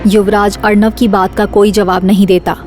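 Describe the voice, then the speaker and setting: A woman's voice: medium pitch, little pitch movement, moderate pace, a little mellow, soft background music. A woman reading scripted suspense narration for an audio drama series.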